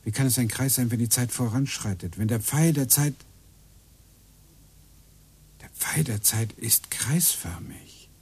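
An actor playing a brooding computer engineer who mutters to himself and grows agitated in a radio play. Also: male voice, medium pitch, unhurried, long pauses, a little mellow, husky.

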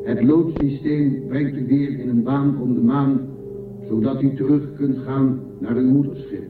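An actor playing a programmed machine guardian of an ancient library in a science fiction radio drama, speaking formally and in measured phrases. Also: male voice, mid-range voice, steady tone, natural voice. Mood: impassive. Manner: formal, measured, matter-of-fact. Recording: echoey, distant, narrow-band, music behind.

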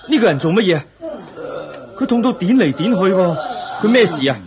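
An actor playing a polite, earnest young seeker in a radio drama, asking questions courteously and expressively. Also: male voice, high voice, lively intonation, brisk, a crowd in the background. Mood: startled, puzzled. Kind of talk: Cantonese radio drama acting.

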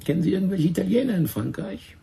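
An actor playing a huge, fat French police official with a thin, hoarse voice, questioning slowly and carefully in a radio drama. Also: male voice, high voice, very animated, warm tone, exaggerated voice. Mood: probing, careful.

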